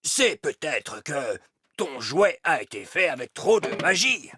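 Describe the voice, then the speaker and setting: A man's voice: a little high, sing-song, noisy background. An actor voicing a wise old male elf schoolteacher in a children's cartoon dub, instructive, strict but kindly. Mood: calm, kindly. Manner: measured, instructive. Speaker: teacher.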